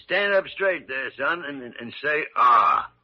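An actor playing a crusty, mischievous older hospital doctor in a 1940s radio drama, blustering and grumbling sardonically. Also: male voice, mid-range voice, animated, unhurried. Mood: hearty. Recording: muffled, noisy vintage radio recording.

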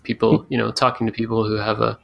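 A man's voice: on the low side, flat delivery, fast, vocal fry, muffled recording. A man interviewing a guest, asking plain follow-up questions with some restarts.

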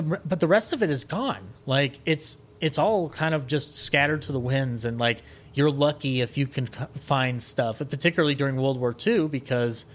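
A man's voice: medium pitch, a bit bright. Male podcast guest, mid-range voice, casual and rambling storyteller with a film buff's enthusiasm.

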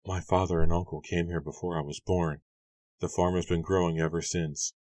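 Man reading as a shy young farm girl, quiet, very deep dry voice. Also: level tone, moderate pace, no room sound, lightly acted.

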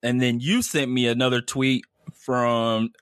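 A man's voice: medium pitch, steady tone, measured pace, a bit bright, clean tone, no room sound, clean studio sound. A man co-hosting a casual sports podcast, talking in an easygoing, friendly way. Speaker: podcast co-host.

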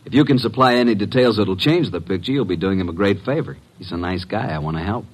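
An actor playing a breezy, wisecracking, flirtatious detective's assistant in a classic radio drama. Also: male voice, lowish voice, fast, close to an everyday voice, poor audio. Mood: coaxing. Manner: breezy, casual, glib.

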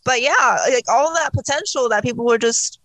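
A woman's voice: a little high, moderate pace, fairly bright tone, no echo. A woman from South Korea chatting casually and telling personal stories. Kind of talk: casual chat.

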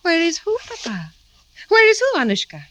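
An actress playing a passionate, scheming aristocratic daughter of seventeen, melodramatic and coy, in an old radio drama. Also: female voice, extremely high pitch, huge pitch swings, moderate pace, bright, forceful tone, exaggerated voice. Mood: feigned innocence. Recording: noisy old radio recording.

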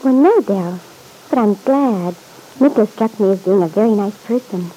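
Anxious young mother, breathy and mellow. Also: mid-range voice, animated, normal speed, rough recording. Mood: guarded. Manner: hesitant, plaintive, defensive. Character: anxious, guilt-ridden young mother, timid and pleading. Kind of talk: old-time radio soap opera acting.

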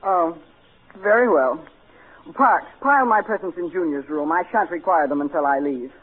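A man's voice: a little high, normal speed, slightly rough. An actor playing a snobbish, precocious rich boy in old radio comedy, haughty and world-weary, with pompous, formal diction. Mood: disdainful. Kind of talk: 1940s radio comedy acting. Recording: tinny, narrow-band old radio recording.

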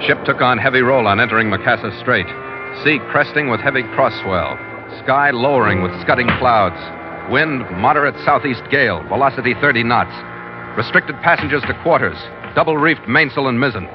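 An actor playing a no-nonsense, harried ship captain who also narrates, curt and commanding, in old-time radio drama. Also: male voice, medium pitch, lively intonation, fairly quick, close to an everyday voice. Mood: terse, grave. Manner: curt, commanding, clipped log-style delivery. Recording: muffled vintage radio recording with music.

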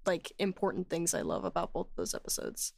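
A woman's voice: fairly low pitch, big pitch swings, brisk, gravelly, a little mellow, slight background noise. A woman chatting informally in a podcast discussion, sprinkling her talk with conversational filler phrases. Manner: informal, frequent "like" and "you know".

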